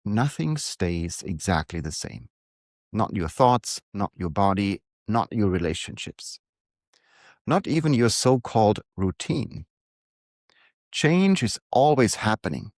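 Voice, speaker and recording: A middle-aged man, speaking calmly and reflectively in a prepared monologue to his readers. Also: on the low side, no echo, clean studio sound. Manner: calm, unhurried, reflective. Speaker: newsletter author and coach.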